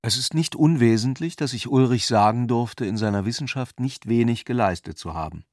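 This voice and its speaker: A man reading scripted literary narration for a radio play in a measured, articulate way. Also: mid-range voice, darkish tone, no room sound, clean studio sound.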